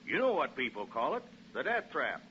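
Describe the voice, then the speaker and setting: A breezy American property salesman in a 1940s radio play. His voice is bright and very lively, with a folksy, reassuring patter. The recording is muffled and old. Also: mid-range voice, normal speed, a little hiss or hum. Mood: breezy, persuasive. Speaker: real estate agent.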